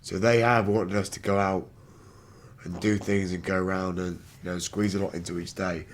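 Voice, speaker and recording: British male, twenties, candid interviewee. Rough, damaged voice sitting very low, faint location noise behind. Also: little pitch movement, moderate pace. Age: 20s. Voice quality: damaged, rough voice from throat surgery. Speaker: wheelchair rugby player.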